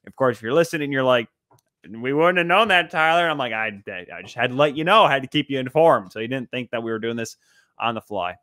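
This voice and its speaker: A man's voice: medium pitch, lively intonation, quick pace, bright, forceful tone, dry recording, studio quality. A man hosting a sports podcast and interview in an emphatic, showy announcer-like manner. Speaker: podcast host.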